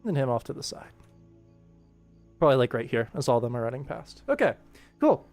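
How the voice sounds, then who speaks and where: A man's voice: mid-range voice, very animated, brisk, a little mellow, soft background music. A man hosting a casual work livestream as an animation director, explaining informally and thinking aloud. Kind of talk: casual livestream commentary while working.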